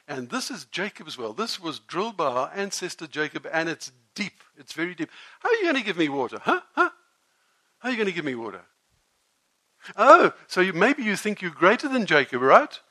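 Brief: man as a proud Samaritan woman, bright lively telling, dry sound. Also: a little high, normal speed, full character voice. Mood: sarcastic. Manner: animated, theatrical storytelling. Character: sharp-tongued Samaritan woman, proud then shamed. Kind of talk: dramatic first-person monologue.

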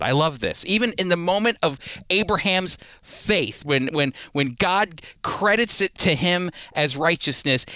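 A man delivers a sermon in a bright, forceful voice, slightly high for a male speaker. His sound is clean and resonant. He teaches scripture as a story, landing key phrases with emphasis and pausing deliberately before addressing listeners directly. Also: clear, resonant tone, no room sound, studio quality. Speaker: preacher teaching a Bible series.

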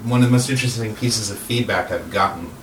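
A man hosting a casual round-table discussion, speaking in halting, thoughtful phrases on a roomy, distant recording. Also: fairly low pitch. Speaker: host of cast round-table. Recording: roomy, distant mic with faint noise.

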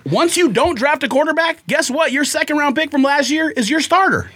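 Very high bright-voiced guy on a podcast, argues hard, keeps hammering the same point. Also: a little fast. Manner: insistent, argumentative, curses. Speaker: podcast co-host. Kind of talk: heated casual debate.

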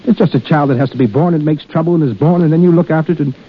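An actor playing an anxious, devoted young soldier husband in a 1930s radio drama, speaking with earnest urgency. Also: male voice, fairly high pitch, very fast, slightly rough. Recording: muffled 1930s radio recording with music.

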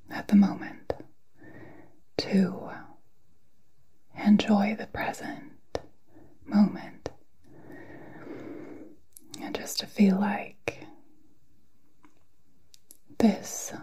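A woman whispering a guided meditation in a soft, breathy, soothing way, with long pauses, as an ASMR host. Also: medium pitch. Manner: slow, soothing, halting delivery with long pauses.

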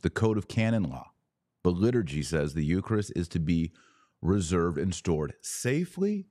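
Male podcast host, low register, unhurried and emphatic, opinionated monologue with deliberate pauses. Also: darkish tone, dry recording, studio quality. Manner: emphatic, deliberate phrasing with pauses and stressed key words. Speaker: podcast host, religious commentator.

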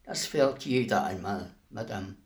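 An actor playing a solemn, courteous executioner in a German radio play, speaking formally and deliberately. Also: male voice, fairly low pitch, lightly acted. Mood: quiet, courteous. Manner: formal, measured, deliberate delivery.

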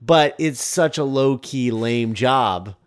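A man's voice: mid-range voice, expressive, takes it slowly. A man co-hosting a podcast, casually discussing a film in a rambling way and repeating phrases for emphasis. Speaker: podcast co-host.

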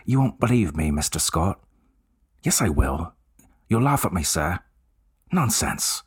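A man voicing a young male Cockney bellboy who speaks bluntly with rough, dropped grammar. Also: deep voice, sing-song, measured pace, full character voice. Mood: fearful.

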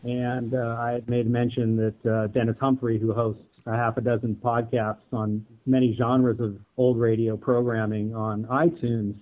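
A man's voice: mid-range voice, little pitch movement, normal speed, hardly any pauses. A man speaking reflectively and hesitantly as a panelist in a casual discussion. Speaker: guest panelist, audio drama producer. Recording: narrow, tinny phone-like line.

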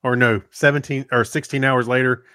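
A man's voice: medium pitch, fairly even intonation, a little fast, sharp tone, dry recording, studio quality. A man co-hosting a casual podcast, laid-back, chiming in with short agreements and quips. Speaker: podcast co-host. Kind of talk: casual chat, reacting to the host.

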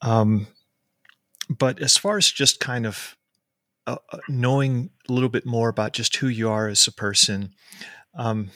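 A man's voice: mid-range voice, measured pace, pushed and bright, no room sound. A man hosting a podcast who asks questions in a friendly, hesitant way with many ums. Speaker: podcast host. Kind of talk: conversational interview.

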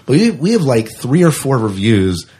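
A man's voice: medium pitch, sing-song, moderate pace, warm tone, breathy edge. A man co-hosting a podcast in casual, informal chat, with an enthusiastic manner. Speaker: podcast co-host.

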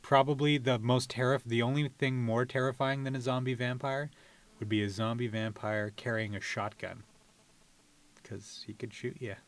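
A man's voice: medium pitch, fairly even intonation, moderate pace, rough recording. A man co-hosting a podcast, asking questions and bantering casually with a guest. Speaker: podcast co-host.

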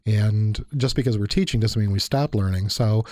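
A man's voice: lowish voice, normal speed, darkish tone, no room sound, clean studio sound. A man co-hosting a casual chat, talking in a reflective, thoughtful way. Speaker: university lecturer and podcast co-host.

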